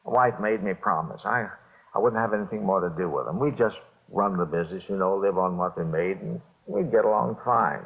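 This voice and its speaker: An actor playing a jumpy middle-aged small-time bookmaker confessing to police in halting, repetitive speech, in 1950s radio drama style. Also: male voice, sits low, vocal fry. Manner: halting, repetitive, nervous hedging. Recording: dull old radio recording.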